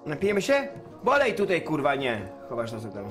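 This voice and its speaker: A man's voice: on the high side, wildly swooping pitch, normal speed, music in the background. A man doing casual livestream commentary on a game, swearing coarsely and talking back at it and his viewers. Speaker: game streamer.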